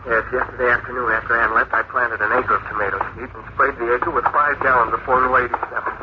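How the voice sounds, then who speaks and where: Actor as a serious inventor, hissy vintage radio. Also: male voice, medium pitch, steady tone, fast talker, close to an everyday voice. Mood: eager. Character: earnest male inventor scientist recounting his fateful discovery. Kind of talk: 1950s radio drama acting and narration. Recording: hissy, tinny old radio recording.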